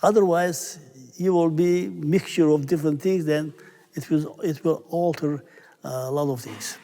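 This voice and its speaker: A man in his eighties from Syria, a priest answering interview questions in English, unhurried and searching for words. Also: on the high side, steady tone, warm tone. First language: Armenian. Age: 80s. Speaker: priest, church abbot. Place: large stone church. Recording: long church reverb.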